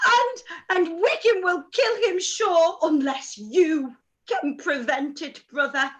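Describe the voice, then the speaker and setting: Shrill, hysterical, wailing mother. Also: takes it slowly. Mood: frantic, wailing. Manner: wailing, gasping, melodramatic. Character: hysterical, self-pitying middle-aged mother, fretful and fluttery. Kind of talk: live-read radio play acting of period drama.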